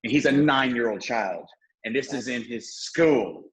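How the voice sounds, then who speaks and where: A man's voice: medium pitch, unhurried, a bit bright, low-quality recording. A man in his thirties from the Southern US, talking casually on a discussion panel, rambling with restarts and fillers. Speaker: panel guest.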